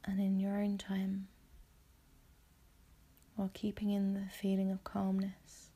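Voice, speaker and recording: A woman leading a guided visualisation meditation in a soft, breathy, husky voice, speaking gently and calmly in measured, soothing phrases. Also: medium pitch.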